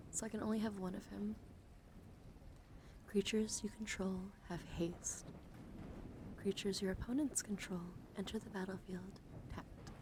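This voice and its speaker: Soft-spoken woman doing whispery ASMR. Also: mid-range voice. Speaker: livestream host. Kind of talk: ASMR, soft chat to livestream viewers with card reading. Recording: clearly audible ambient background noise.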